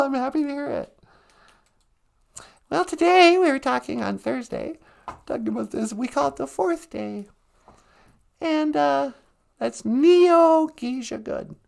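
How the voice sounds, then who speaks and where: A man puts on a giggly, teasing woman co-host with a high, swooping falsetto that rises and falls widely. She talks slowly, her voice going hoarse and gravelly with fry. The sing-song delivery fits a comic puppet-style skit. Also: a bit bright, full character voice. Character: chirpy middle-aged woman co-host. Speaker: co-host of a language podcast.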